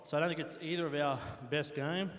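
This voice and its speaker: A man's voice: fairly high pitch, fairly even intonation, fast talker. A man giving a casual, easygoing post-match interview. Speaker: competitor being interviewed. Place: competition arena. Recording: echoey hall with long reverb.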